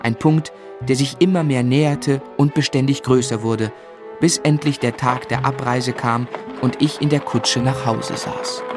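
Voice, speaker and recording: An actor playing a grown man reflectively recalling his boyhood, in measured, literary narration for a German radio drama. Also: medium pitch, steady tone, music in the background, close to an everyday voice. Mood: wistful.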